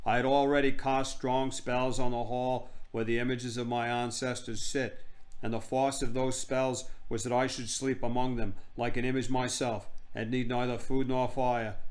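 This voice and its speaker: A man's voice: mid-range voice, flat delivery, moderate pace, natural voice. A man voicing a grand, evil storybook queen, imperious and hammy, with a Boston accent. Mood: grand, solemn. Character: haughty grand evil witch queen, theatrical. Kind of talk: storybook character voice.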